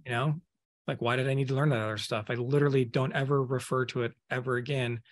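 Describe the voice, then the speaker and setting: Man, 30s, blunt and animated podcast guest, video-call audio from an office. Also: mid-range voice, fast talker. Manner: candid, emphatic, speeds up when animated. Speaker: guest, accounting firm owner. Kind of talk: casual podcast interview. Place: office room. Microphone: video call webcam.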